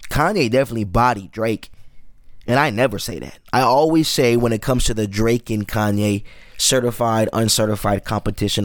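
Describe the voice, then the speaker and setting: A man's voice: on the low side, no room sound, studio quality. A man in his late twenties hosting a solo podcast, rambling through loose, profane casual commentary. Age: 20s. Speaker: podcast host.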